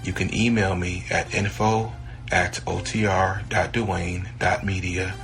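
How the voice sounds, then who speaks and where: A man hosting a podcast, giving a relaxed, friendly sign-off. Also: sits low, measured pace. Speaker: podcast host. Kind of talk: casual podcast outro.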